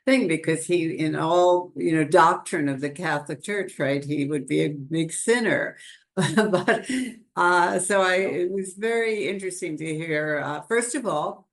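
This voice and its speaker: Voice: mid-range voice, a little slow. A panelist on a video call, talking in a chatty, rambling way with frequent laughs and restarts. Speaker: webinar panelist. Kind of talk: conversational panel discussion. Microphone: computer mic on a video call.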